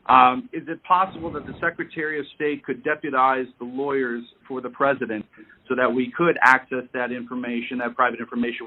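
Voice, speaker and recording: A man making a formal, lawyerly argument with deliberate wording on a conference call. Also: medium pitch, a little hiss or hum. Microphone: telephone. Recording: tinny, narrow phone line.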